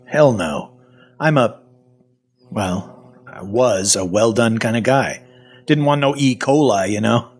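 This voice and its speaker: Man acting a husky, sardonic captive survivor. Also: mid-range voice, slow pace, long pauses, soft background music. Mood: wry. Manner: colloquial, wry. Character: sardonic, suspicious captive man immune to zombie infection. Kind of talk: first-person audio fiction narration and acting.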